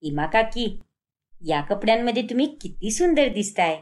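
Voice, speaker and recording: A woman's voice: a little high, big pitch swings, moderate pace. A woman playing an admiring, timid college girl, polite and wistful, in a cartoon story. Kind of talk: cartoon moral story dialogue.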